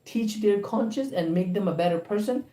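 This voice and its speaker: A man's voice: sits high, normal speed, mellow. A man co-hosting a livestream, earnestly reasoning aloud and checking in with "right?" and "why?". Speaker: vlog co-host on a livestream. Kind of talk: casual livestream chat. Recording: roomy room sound.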